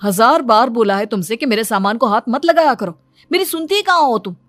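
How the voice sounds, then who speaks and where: A woman's voice: a little high, animated, fairly quick, dry recording, close to an everyday voice. A woman voicing an irritable, fault-finding young husband in a moral story. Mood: annoyed, accusing. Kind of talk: moral story character dialogue. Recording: music behind the voice.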